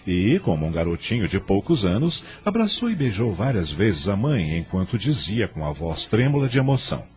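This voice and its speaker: A man's voice: fairly low pitch, very animated, normal speed, darkish tone. A man narrating a scripted radio drama in a dramatic storytelling style. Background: faint background music.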